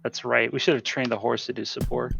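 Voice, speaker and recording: A man's voice: mid-range voice, fairly even intonation, a little fast, creaky, fairly bright tone, highs cut off. A man giving informal live commentary as a speedrunner, often talking to the game while he plays.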